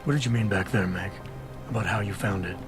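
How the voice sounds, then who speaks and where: Male actor as wry guarded man, low voice, quick dry delivery, game dialogue, music behind. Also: steady tone, natural voice. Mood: probing. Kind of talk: video game cutscene acting. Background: game score.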